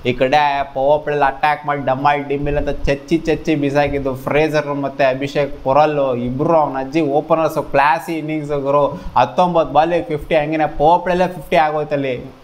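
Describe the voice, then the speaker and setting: A man's voice: on the high side, level tone, normal speed. A man in his twenties talking to camera in casual, colloquial, exclamatory cricket commentary, recorded on a lapel mic in a small home studio. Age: 20s. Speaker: host of a cricket review channel. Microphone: clip-on lapel mic.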